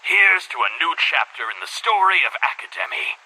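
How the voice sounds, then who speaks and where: An actor playing a weary, embittered middle-aged school headmaster in a video game monologue, speaking in a formal, measured, brooding way with a husky voice. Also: male voice, big pitch swings, sharp tone, exaggerated voice, low-quality recording. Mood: cautiously hopeful. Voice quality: husky, breathy low voice.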